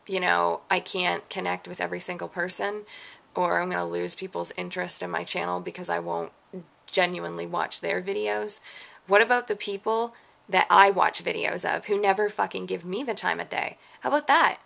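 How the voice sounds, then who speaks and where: Gravelly-voiced woman venting to camera. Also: fairly low pitch, steady tone, normal speed, a bit bright. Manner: frustrated, rambling, self-questioning. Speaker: vlogger. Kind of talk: candid rant to camera.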